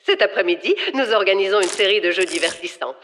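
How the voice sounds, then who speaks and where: A woman's voice: medium pitch, quick pace, fairly bright tone, slight background noise, close to an everyday voice. A woman playing a prim, pompous cartoon school director, enunciating ceremoniously. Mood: ceremonious announcing. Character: prim, theatrical adult woman school director laying down rules. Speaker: school headmistress character. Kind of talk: animated series dubbing, theatrical announcing. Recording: roomy echo, faint music behind.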